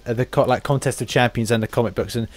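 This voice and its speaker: A man's voice: mid-range voice, fairly even intonation, no room sound. A man interviewing a guest in casual podcast chat, often stumbling and restarting his phrases. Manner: halting, stumbling over phrases.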